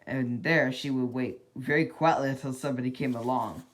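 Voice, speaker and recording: A person reading a children's storybook aloud in a deliberate, dramatic way, as an amateur narrator. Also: mid-range voice, steady tone, poor audio.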